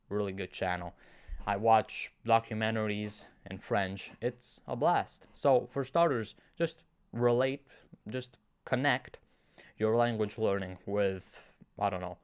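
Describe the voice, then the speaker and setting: A man's voice: fairly low pitch, clear, resonant tone, dry recording. A young man whose first language is Romanian, talking casually to his viewers in a chatty, self-mocking way, often restarting his sentences. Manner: chatty, self-deprecating, with frequent restarts and fillers. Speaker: YouTuber. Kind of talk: casual talking-to-camera vlog.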